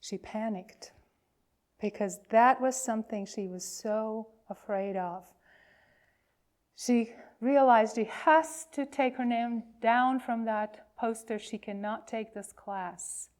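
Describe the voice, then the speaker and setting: A woman with a fairly high voice leads worship as a minister. She speaks very slowly, leaving pauses between phrases as she reads and tells a story for children. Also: a little mellow.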